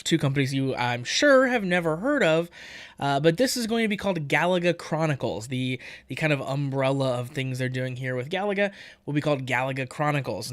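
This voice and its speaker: A man's voice: fairly high pitch, a bit bright, clear, resonant tone, no echo. A man hosting a podcast, giving chatty, informal commentary on entertainment news. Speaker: podcast host.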